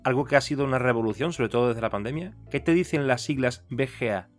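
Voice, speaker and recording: Andalusian guy doing a casual interview, medium pitch, faint music behind him. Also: fast. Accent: Andalusian Spanish. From Seville, Spain.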